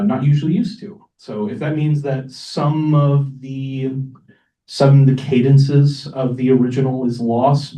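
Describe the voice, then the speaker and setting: A man's voice: medium pitch, dark tone. A man on a panel answering casually and informally, thinking aloud as he goes. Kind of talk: casual unscripted answer to an audience question. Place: echoing library event room. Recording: roomy, distant microphone.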